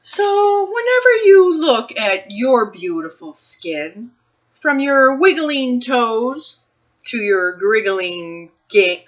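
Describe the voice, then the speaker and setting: A woman speaking as a children's librarian at a read-aloud storytime, expressive and addressing young children and families. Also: fairly high pitch, highs cut off. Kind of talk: picture book read-aloud and talk to families.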